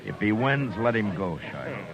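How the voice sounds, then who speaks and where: Old radio western, dull sound: weary marshal, deep voice, terse orders, loyal at heart. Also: male voice, big pitch swings, crowd noise. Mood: grim, commanding. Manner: curt, commanding, halting when moved. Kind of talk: 1950s radio western acting.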